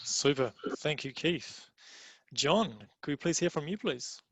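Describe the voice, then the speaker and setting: A man's voice: on the high side, lively intonation, measured pace, breathy edge, no echo. A man moderating an online panel webinar, giving friendly, practical instructions with the odd filler.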